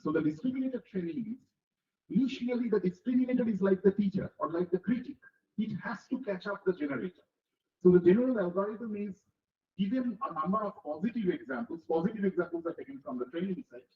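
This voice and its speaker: Middle-aged male lecturer, high voice, echoey dull hall recording. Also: expressive, quick pace. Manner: explains step by step, asks listeners rhetorical checks. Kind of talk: technical lecture with classroom analogies.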